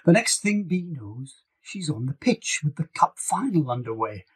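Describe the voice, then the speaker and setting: A man's voice: a little high, very animated, normal speed, slightly rough. A man reading scripted fiction as an author narrating an audiobook. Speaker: author reading own novel.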